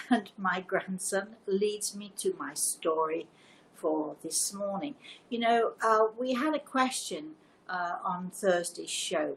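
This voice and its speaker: Older woman, creaky voice, casual livestream host, deliberate emphatic delivery, some background noise. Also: lowish voice, a bit bright, rough recording. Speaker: live show host and storyteller. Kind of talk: casual livestream chat to viewers.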